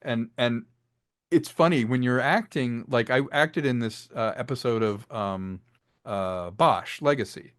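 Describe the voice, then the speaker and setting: A man's voice: medium pitch, a little slow, a bit bright, no room sound, studio quality. A man giving a casual interview as a guest, explaining his audio production work with enthusiasm. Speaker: interview guest, audio drama creator and actor.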